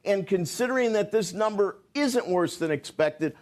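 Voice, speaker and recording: A man's voice: sits high, lively intonation, moderate pace, a little mellow. A man speaking as a market analyst on financial news, assured and heavy on jargon.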